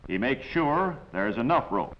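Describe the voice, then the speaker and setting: A man reading scripted instructional narration in a measured way, as the narrator of a training film. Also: mid-range voice, sing-song. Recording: dull, noisy old 16mm film soundtrack.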